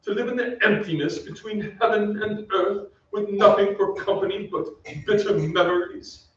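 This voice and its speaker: A man's voice: sits high, normal speed, natural voice. A man playing a pompous, melancholy centuries-old aristocratic ghost with archaic, theatrical diction in an old-time radio drama. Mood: sorrowful. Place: on stage in a theatre. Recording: distant, echoey stage recording, muffled.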